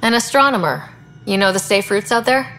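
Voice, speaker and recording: Helpful space heroine, casual, confident, fry-edged voice, young, video game dialogue. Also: female voice, medium pitch, lively intonation, fairly quick, pushed and bright, a little hiss or hum, low-quality recording. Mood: casual, curious.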